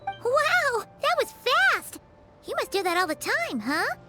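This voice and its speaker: A woman voicing a tiny, excitable female floating companion who chirps eagerly and talks about herself in the third person, in a video game cutscene. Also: very high voice, slow pace, heavily acted. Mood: excited. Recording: game cutscene with clear background music.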